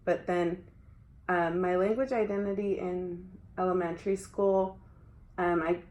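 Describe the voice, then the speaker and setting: A woman's voice: fairly low pitch, fairly even intonation, unhurried, a little mellow. A young adult woman talking casually about her school years, often using "like". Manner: conversational, frequent filler "like". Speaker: interviewee. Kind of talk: casual conversation. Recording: echoey room.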